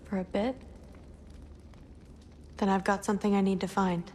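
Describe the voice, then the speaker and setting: A woman's voice: mid-range voice, level tone, normal speed, darkish tone, slight background noise, lightly acted. A woman voicing a tough, plucky young warrior daughter in a fantasy video game, with a casual, matter-of-fact manner. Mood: resolute.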